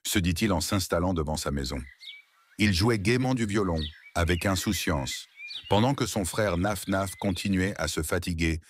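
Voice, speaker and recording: Deep-voiced man telling a children's tale. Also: normal speed, a little hiss or hum. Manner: expressive, playful storybook delivery. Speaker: storyteller, narrator. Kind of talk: scripted narration of a children's tale.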